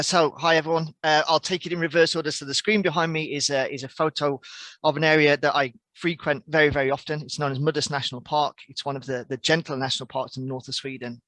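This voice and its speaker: A man's voice: on the high side, a bit bright, dry recording. A man in his forties from the English Midlands, with an English accent, answering interview questions on a video call in a reflective, earnest way. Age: 40s. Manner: reflective, earnest, with frequent restarts and fillers. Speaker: podcast guest, outdoor coach.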